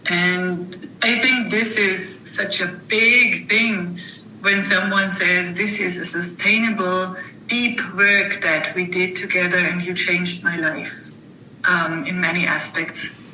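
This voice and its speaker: A woman speaking as an interview guest, reflecting thoughtfully in halting phrases with pauses. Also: lowish voice, steady tone, bright, forceful tone. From Germany. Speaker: interview guest, author and coach. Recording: roomy echo, faint background noise.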